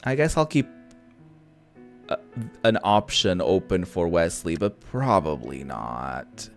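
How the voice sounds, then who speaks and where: A man doing casual let's-play commentary, thinking out loud with some mild swearing over background music. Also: low voice, very animated, slow. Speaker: gaming host. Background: background game music.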